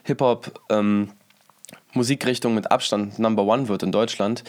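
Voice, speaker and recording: Berlin musician in his thirties, slightly low voice, loose slangy chat. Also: moderate pace. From Berlin, Germany. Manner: relaxed, slangy, rambling. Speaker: musician and producer. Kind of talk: casual interview chat.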